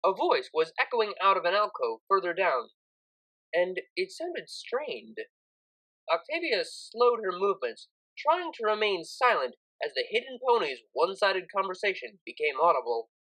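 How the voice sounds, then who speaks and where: Female story narrator, scripted third-person reading, highly varied pitch, expressive delivery. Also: moderate pace, poor audio.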